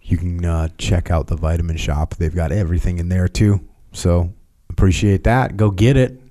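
Low, mellow podcast host. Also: male voice, steady tone. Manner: deliberate, emphatic, repeats his points. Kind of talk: casual chat with sponsor reads.

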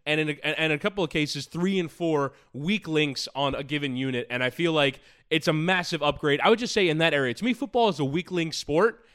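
Higher-voiced man discussing sports. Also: brisk, hardly any pauses, fairly bright tone. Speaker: sports podcast co-host. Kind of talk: conversational sports analysis.

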